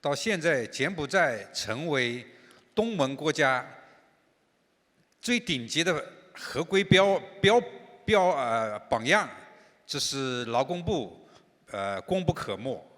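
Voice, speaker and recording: An older man whose first language is Chinese, answering on a business panel in a halting way with frequent pauses and fillers. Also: a little high, sing-song, fairly bright tone. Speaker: industry association chairman. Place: large conference hall. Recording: reverberant conference hall.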